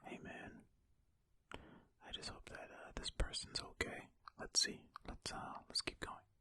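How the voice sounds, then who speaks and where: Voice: unhurried, bright, forceful tone, dry recording. An ASMR host whispering ear to ear in a tentative, musing way, reading and commenting close to the microphone. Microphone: close stereo ASMR microphone.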